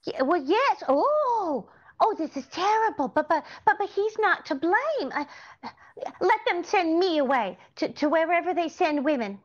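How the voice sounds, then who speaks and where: A woman's voice: very high-pitched, animated, moderate pace. A middle-aged woman playing a cheerful, naively confident scatterbrained wife in a 1940s-style radio comedy. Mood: flustered. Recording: slightly dull online-call audio.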